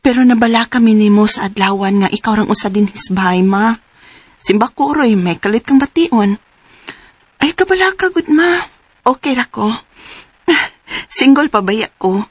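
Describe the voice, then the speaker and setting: A woman in her forties with a Cebuano accent playing a single daughter who cares for her mother in a radio drama, earnest and rising high in surprise. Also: moderate pace, long pauses, breathy edge, dry recording. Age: 40s. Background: music.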